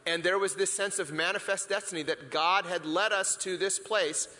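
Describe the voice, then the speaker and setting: A man's voice: high-pitched, steady tone, moderate pace, sharp tone. A man from the Philippines preaching a conversational sermon in a church hall, reflective and full of rhetorical questions and restarts. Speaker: pastor. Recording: long hall reverb.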